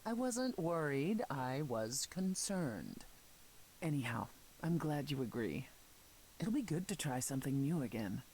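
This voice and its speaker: An actress playing a proud, composed goddess in a video game, speaking formally with a dry, deliberate manner. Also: female voice, deep voice, big pitch swings, a little mellow, slight background noise, rough recording. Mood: dry, teasing. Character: composed, proud goddess, sardonic sister and former leader.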